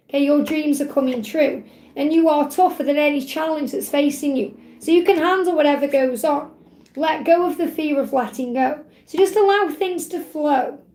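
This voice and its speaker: Woman, tarot reader, high voice, slightly croaky, reassuring live-stream chat, roomy echo. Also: a little mellow. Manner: chatty, reassuring, repeats phrases, ends points with "okay?". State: voice getting croaky.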